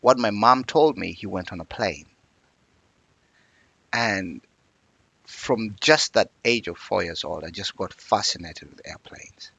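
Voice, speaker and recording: A man's voice: lowish voice, slow pace, vocal fry, long pauses, bright, forceful tone, husky, dry recording. A man, telling his life story as an interview guest in a reflective, earnest way. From Uganda.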